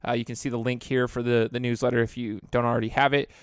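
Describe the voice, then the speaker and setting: A man's voice: mid-range voice, little pitch movement, fast, clear, resonant tone, no echo. Man, young, podcast host, rambling, dry close mic. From Idaho. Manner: chatty, rambling with restarts. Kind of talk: casual interview.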